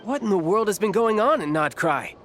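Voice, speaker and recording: An actor playing an excitable, cheeky young warrior in a video game, with an expressive, boyish delivery. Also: male voice, high voice, fairly quick. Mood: shaken. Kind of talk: English video game voice acting. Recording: game music behind the voice.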